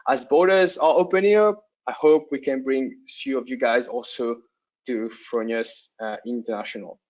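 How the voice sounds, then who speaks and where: A man with a non-native English accent presents a product webinar to installers over a computer mic. His voice sits at a middle pitch, and he keeps his delivery loose and conversational, tacking "right?" onto his points and restarting phrases as he goes. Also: rough recording. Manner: conversational, tags sentences with "right?", frequent restarts.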